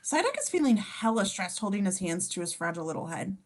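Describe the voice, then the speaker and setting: A woman's voice: mid-range voice, big pitch swings, quick pace, slight background noise. A woman reading scene descriptions in a casual amateur script read-through, as the narrator.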